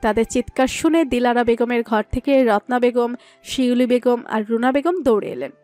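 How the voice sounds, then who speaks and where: A woman's voice: on the high side, moderate pace, warm tone, no echo, soft background music. A woman reading a scripted story as its narrator.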